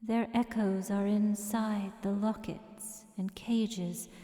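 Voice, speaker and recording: A woman reading poetic narration in a gentle, solemn, meditative manner, measured and pausing between phrases. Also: mid-range voice, flat delivery. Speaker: poet reading own work. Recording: long echoing reverb with music behind. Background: music.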